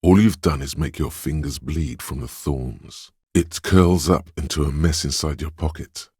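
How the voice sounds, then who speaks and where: Casting: a brooding inner-voice narrator in a detective game. Extremely low, heavily rasped male voice, deliberate and intimate. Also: a little mellow, no room sound, studio quality, full character voice. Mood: measured, wry. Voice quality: very deep, gravelly, husky. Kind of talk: dramatic second-person game narration.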